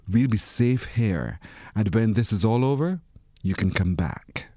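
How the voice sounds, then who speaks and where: Man reading as a practical, soothing storybook mother, lively intonation. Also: lowish voice, measured pace, no echo, studio quality. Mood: reassuring. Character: boy's mother, practical, gently reassuring village woman.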